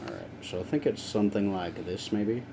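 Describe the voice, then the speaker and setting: A man's voice: fairly low pitch, big pitch swings, a little fast, gravelly, noisy background. A young man chatting casually with viewers on a live stream while he works, rambling and swearing now and then.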